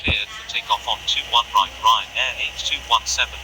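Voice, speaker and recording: A man making scripted pilot radio calls in a clipped, formulaic manner through a radio effect. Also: moderate pace. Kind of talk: scripted aviation radio phraseology. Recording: noisy aviation radio with background rumble.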